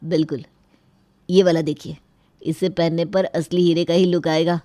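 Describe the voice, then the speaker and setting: A woman voicing a polite, mild young man in a family storybook tale, courteous and soft-spoken. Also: on the low side, flat delivery, moderate pace, no room sound, natural voice.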